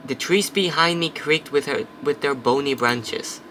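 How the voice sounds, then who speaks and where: A man plays through a story game, reading each line aloud in a bright, forward voice. Between passages he drops into loose chat and the odd curse. A faint noise hums behind him. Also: fairly high pitch, a little slow. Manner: reads narration, then chats and swears casually. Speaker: let's play host. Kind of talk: casual game playthrough, reading text aloud.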